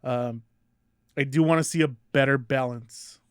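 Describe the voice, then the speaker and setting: A man gives a careful, hesitant beer review, pausing with "um" as he weighs each point. His voice is mid-pitched and recorded close and dry. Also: smooth, no echo. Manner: thoughtful, hesitant, many "um"s. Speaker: podcast co-host. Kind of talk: casual chat, beer review.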